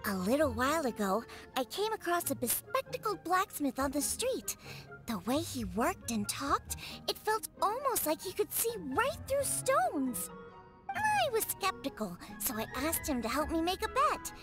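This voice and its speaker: Cute, mischievous young girl for a game: very high, bouncy melody, over music. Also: wildly swooping pitch, measured pace, exaggerated voice. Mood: proud, excited. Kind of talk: video game voice acting.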